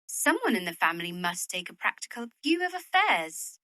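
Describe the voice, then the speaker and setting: An actress playing a proud, practical young Victorian widow in a period audio drama, speaking in a worldly, opinionated way. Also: female voice, fairly low pitch, big pitch swings, moderate pace, pushed and bright, no room sound, studio quality. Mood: brisk, bossy. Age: young adult.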